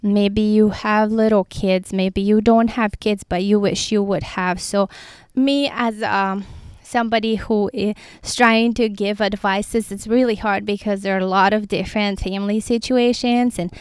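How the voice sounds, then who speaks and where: Chatty woman rambling with a crisp, smooth voice. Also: mid-range voice, fairly even intonation, a little slow, no echo. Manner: rambling, goes off on tangents. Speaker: podcast host. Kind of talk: casual solo talk. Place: outdoors by the sea.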